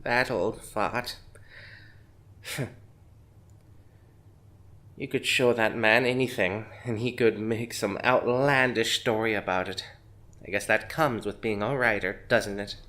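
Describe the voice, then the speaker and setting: A man's voice: on the low side, takes it slowly, pauses often, bright, forceful tone. A man playing a chatty, evasive middle-aged grandmother in an audio drama, speaking hesitantly and conversationally. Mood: defensive.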